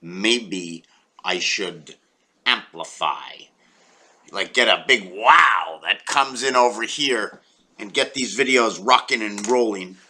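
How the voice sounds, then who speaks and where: A man's voice: lowish voice, takes it slowly, sharp tone, husky. A man from Quebec explaining number patterns to a small online audience in a meandering, musing way, often counting aloud. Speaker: video creator. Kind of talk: rambling numerology explanation.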